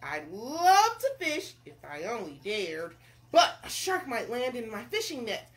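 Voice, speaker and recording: Woman putting on a high-pitched, terrified wimpy male cook. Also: wildly swooping pitch, a little slow. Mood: nervous. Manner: stammering, pleading.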